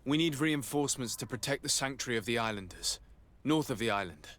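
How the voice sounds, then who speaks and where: An actor playing an earnest young nobleman in a video game, speaking formally and gravely as a resolute envoy. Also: medium pitch, animated, a little fast, a bit bright, close to an everyday voice. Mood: grave warning. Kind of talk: dramatic video game dialogue.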